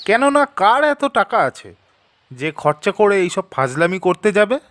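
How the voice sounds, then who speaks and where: A man's voice: sits high, sing-song, unhurried, fairly bright tone, heavily acted. A man playing an eager, persuasive male friend in an audio story, speaking colloquially and emphatically. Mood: insistent, dismissive. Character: eager, persuasive young male friend, breezily sceptical of ghosts. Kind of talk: audio story dramatic dialogue.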